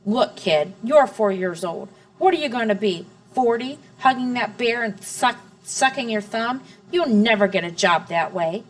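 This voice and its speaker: A woman reading aloud, voicing a short-tempered father who yells and scolds. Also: fairly high pitch, expressive, measured pace, hardly any pauses. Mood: scolding. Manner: yelling, scolding. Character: angry, short-tempered father, grown man.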